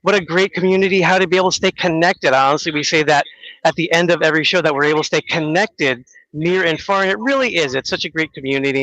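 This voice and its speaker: A man's voice: on the high side, fairly bright tone, no echo, low-quality recording. A male radio host in a panel chat, talking upbeat and rambling, with many fillers.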